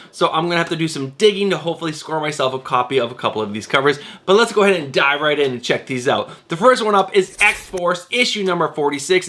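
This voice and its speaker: A man hosting a comic book vlog, talking in an energetic, rambling way to hype up his viewers, his voice a little stuffy from a cold. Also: a little high. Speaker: comic book channel host.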